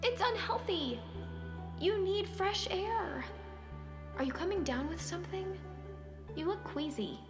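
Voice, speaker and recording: A woman's voice: extremely high pitch, lively intonation, unhurried, long pauses. A woman acting a caring, persistent character who coaxes and probes in naturalistic stage-play dialogue, sometimes hesitantly. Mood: worried, nudging. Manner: coaxing, probing, hesitant at times. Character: Sonia, caring, persistent woman nudging a withdrawn man. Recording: roomy sound with music behind.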